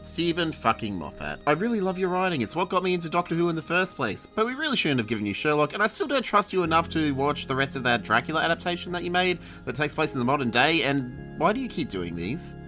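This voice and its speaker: Australian male reviewer, lively swinging intonation, emphatic and sardonic, swears freely, music underneath. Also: on the high side, quick pace, hardly any pauses. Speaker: video review host. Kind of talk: scripted opinionated review narration.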